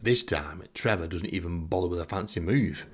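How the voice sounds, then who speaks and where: A man's voice: deep voice, moderate pace, slightly rough. A man with a Northern English accent telling a humorous first-person anecdote in a wry, self-deprecating way. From Northern England. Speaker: comic storyteller.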